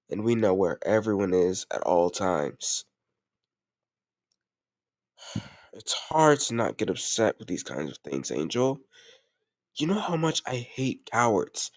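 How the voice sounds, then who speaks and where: A man's voice: lowish voice, no room sound, clean studio sound, close to an everyday voice. A man playing a doting but ruthless mafia boss in an intimate audio roleplay, commanding yet affectionate, using gangster slang. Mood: irritated, cold.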